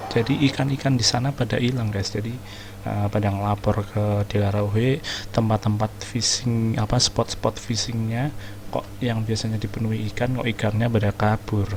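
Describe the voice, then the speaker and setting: Creaky-voiced Indonesian man commenting on gameplay. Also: on the low side, fairly even intonation, brisk, darkish tone, a little hiss or hum. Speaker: gaming video host. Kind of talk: casual game playthrough commentary.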